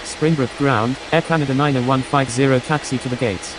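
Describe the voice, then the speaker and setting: A very low voice comes through clear hiss as a woman plays an airline pilot on the radio. The calls are procedural and businesslike, in the style of an air traffic game. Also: fairly even intonation, fairly quick.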